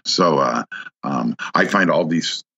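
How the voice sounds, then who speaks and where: A man joining a podcast as a guest, talking with animated enthusiasm. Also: extremely low pitch, slow pace, vocal fry, lo-fi sound. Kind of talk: casual podcast chat.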